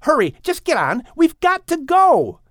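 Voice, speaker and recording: A man's voice: very high voice, huge pitch swings, measured pace, fairly bright tone, no echo, clean studio sound, voice pushed up, exaggerated voice. A man voicing an anxious young man in an audiobook adventure, calling out urgently. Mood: urgent, alarmed.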